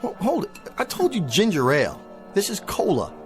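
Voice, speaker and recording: An actor playing a vain, petulant television cowboy star who talks in a pompous, theatrical whine. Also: male voice, high voice, wildly swooping pitch, moderate pace, over music. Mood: exasperated whining.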